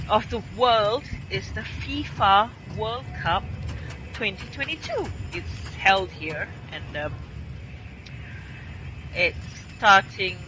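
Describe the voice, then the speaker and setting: A woman's voice: medium pitch, dull audio, background noise. A woman from Singapore chatting casually while streaming a game from her home in Qatar, meandering and full of fillers.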